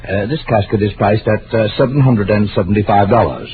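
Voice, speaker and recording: A man's voice: lowish voice, a little fast, lightly acted. An actor playing a fussy, unctuous middle-aged mortician in an old-time radio drama, hesitant and solicitous like a salesman. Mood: unctuous pitch. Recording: tinny, noisy old radio recording.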